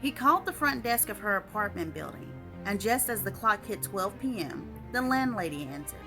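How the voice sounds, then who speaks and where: A woman reading her own short story aloud as an expressive storyteller. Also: medium pitch, moderate pace, music in the background.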